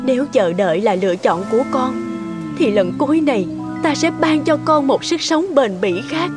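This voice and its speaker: A high-voiced sorrowful sea goddess. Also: female voice, animated, moderate pace, over loud music. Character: sorrowful motherly sea goddess, regal and compassionate. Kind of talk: cartoon fairy tale dubbing.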